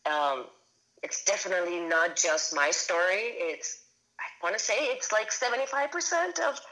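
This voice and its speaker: A woman's voice: lowish voice, expressive, moderate pace, bright, forceful tone, poor audio. Hesitant woman in her thirties from Venezuela. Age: 30s. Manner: hesitant, many restarts and fillers. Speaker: guest filmmaker. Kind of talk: casual interview.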